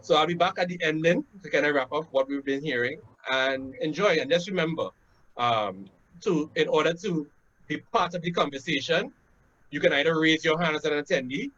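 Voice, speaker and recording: A man's voice: fairly high pitch, a bit bright. A man in his thirties with a Trinidadian accent, hosting a webinar from a room at home in a casual, conversational way, often tagging his points with "right?". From Trinidad and Tobago. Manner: conversational, frequent "right?" tags and "um" fillers. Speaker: webinar host. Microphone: laptop webcam on a video call. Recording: dull lo-fi video call audio.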